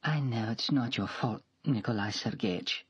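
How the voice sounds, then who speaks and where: A man's voice: medium pitch, moderate pace, breathy edge, lo-fi sound, voice pushed up, lightly acted. A man voicing a hurt, proud young governess. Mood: gentle. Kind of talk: audiobook character voice.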